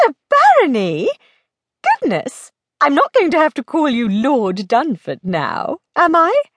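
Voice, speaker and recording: A woman voicing a pert, witty young society lady in an audiobook, arch, playful and sharp-tongued. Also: sits high, wildly swooping pitch, unhurried, no echo, pitched above the performer's own voice, full character voice. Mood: delighted surprise.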